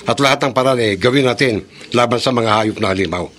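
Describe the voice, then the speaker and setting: Firm Filipino man acting, medium pitch. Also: fast, fairly bright tone, slight background noise, close to an everyday voice. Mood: determined. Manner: firm, serious. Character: determined grown son protecting family from monsters. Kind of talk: Filipino horror radio drama acting.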